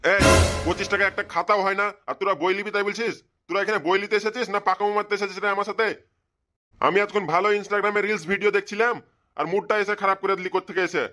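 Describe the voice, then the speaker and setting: A man's voice: high-pitched, level tone, fast talker, loud music behind the voice, close to an everyday voice. A man voicing a grumpy, bald old bookshop keeper in a comedy cartoon, scolding and sarcastic. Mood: furious. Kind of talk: comic cartoon dubbing.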